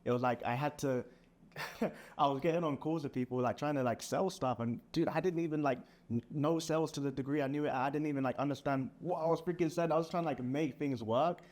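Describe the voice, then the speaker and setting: A man's voice: on the high side, brisk, darkish tone. A young man in a casual business chat, talking in a motivational way with lots of "like" and "man". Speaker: sales partner.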